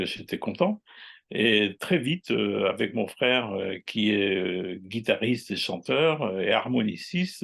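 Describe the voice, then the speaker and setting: A man in his sixties, a writer and astrologer, chatting as an interview guest in an unhurried, hesitant way with many fillers. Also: on the low side, vocal fry. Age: 60s. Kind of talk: casual interview chat.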